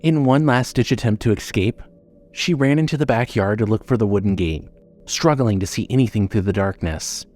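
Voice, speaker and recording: A man narrating a scripted horror story as storyteller, measured and suspenseful. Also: on the low side, darkish tone, soft background music.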